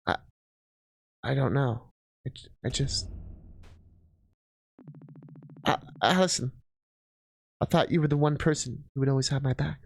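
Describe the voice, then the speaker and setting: A man's voice: mid-range voice, normal speed, creaky, long pauses, a little hiss or hum, voice pushed down, close to an everyday voice. A man voicing an insecure young woman healer in a fantasy story, stammering and pleading as a wounded would-be paladin. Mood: tearful, withdrawn.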